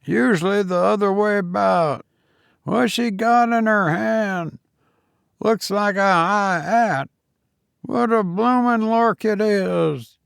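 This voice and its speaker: Stout old gossiping cabman for a story male reader, raised pitch, slangy and amused. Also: takes it slowly, gravelly, a bit bright, smooth, no room sound, studio quality, heavily acted. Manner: colloquial dropped-g street speech.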